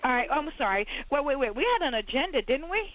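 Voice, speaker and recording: High-pitched woman from barbados, fired up and emphatic, sounds like she's on the phone. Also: fast. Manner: emphatic, animated, talks over others. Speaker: podcast co-host, resume writer. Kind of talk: heated casual debate. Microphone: phone call. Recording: phone-line audio.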